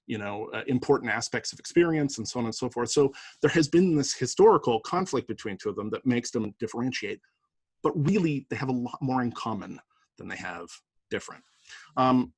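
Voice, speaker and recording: Canadian male lecturer, husky mid-pitched voice, chatty, storytelling, dry close recording. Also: dry recording. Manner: conversational, anecdotal, lots of fillers and rhetorical "right?". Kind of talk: university lecture.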